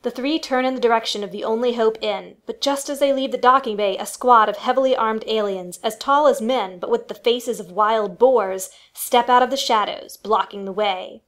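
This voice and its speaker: A woman's voice: on the high side, moderate pace, few pauses, fairly bright tone. A woman reading scripted storytelling narration for a family radio play.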